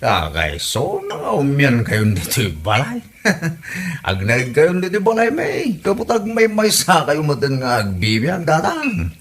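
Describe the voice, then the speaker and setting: Man acting lively, jovial Ilocano son-in-law. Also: a little high, a little slow, heavily acted. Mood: cheerful, persuasive. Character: jovial Filipino son-in-law coaxing his elder to drink. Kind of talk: hobby comedy radio drama acting. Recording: noticeable background noise.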